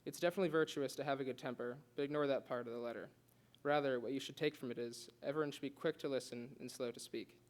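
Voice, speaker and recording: Teen guy reading his class speech quick, earnest, stumbles and restarts now and then. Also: mid-range voice. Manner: earnest, with occasional stutters and restarts. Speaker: student giving a class speech. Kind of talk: prepared speech read aloud.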